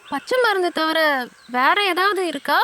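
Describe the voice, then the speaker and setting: A woman's voice: very high-pitched, normal speed. A woman voicing an inquisitive, chatty cartoon hen with a gossipy, questioning manner. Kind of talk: children's cartoon voice acting. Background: loud music.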